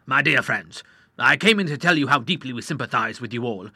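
A man narrating an audiobook, voicing a kindly middle-aged doctor who speaks in a measured, reassuring way. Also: mid-range voice, lively intonation, bright, forceful tone, husky, no echo, studio quality, heavily acted. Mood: calm.

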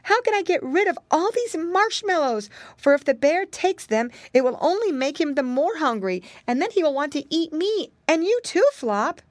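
A woman's voice: sits high, big pitch swings, normal speed, few pauses, no room sound, pitched above the performer's own voice. A woman voicing a fretful, chatty little storybook girl with a plaintive, exclamatory way of talking. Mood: alarmed.

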